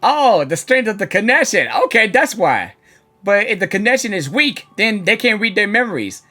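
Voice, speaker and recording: A woman game streamer giving casual, rambling, excitable live reactions. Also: mid-range voice, normal speed. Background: game music.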